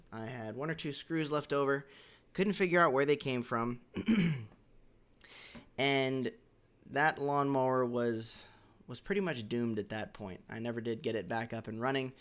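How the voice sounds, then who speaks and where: A man with a hoarse voice, recovering from a cold, giving a casual, measured developer lesson as the host of a weekly question. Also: medium pitch, fairly bright tone. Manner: measured, slightly halting, with occasional throat clearing. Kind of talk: casual instructional talk.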